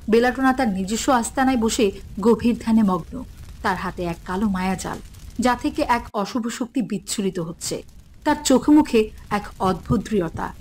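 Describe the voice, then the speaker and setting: A woman's voice: on the high side, a little mellow, slight background noise. A woman narrating a scripted fairy tale for a cartoon.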